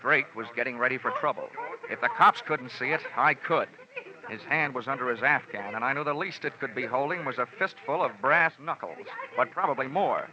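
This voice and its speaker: An American actor playing a tough, wisecracking insurance investigator with a wry, snappy delivery in an old radio detective drama. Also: male voice, mid-range voice, fairly quick, crowd noise, lightly acted. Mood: wry narration. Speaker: lead character and narrator. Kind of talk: 1950s radio detective drama, hardboiled narration. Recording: dull vintage radio broadcast recording.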